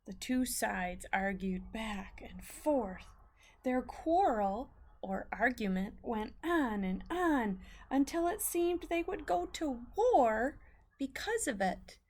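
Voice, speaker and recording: A woman teacher reading a story aloud to children, explaining it deliberately as she goes. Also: on the high side, animated.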